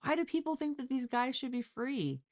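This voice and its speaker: A woman's voice: high voice, very animated, moderate pace, darkish tone. A woman hosting a live stream, giving casual, blunt and mocking reaction commentary full of exclamations. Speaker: live stream host reacting to a crime case.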